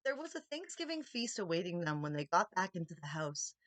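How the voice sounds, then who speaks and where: A woman's voice: fairly low pitch, a bit bright, dry recording. Woman reading a story, dry sound. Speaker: audiobook reader, channel host. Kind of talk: expressive storybook narration.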